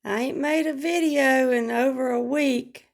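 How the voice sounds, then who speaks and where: A hoarse woman, chatting slowly and plainly about her week at home into a phone camera. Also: sits high. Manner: slow, homespun, rambling talk with regional grammar. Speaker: vlogger. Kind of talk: casual home vlog chat.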